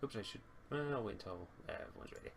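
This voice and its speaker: A man's voice: on the low side, animated, very fast, gravelly. A man acting as game master in a casual online tabletop game, talking hesitantly and muttering as he checks things. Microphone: computer mic on an online voice call. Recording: noisy home computer mic.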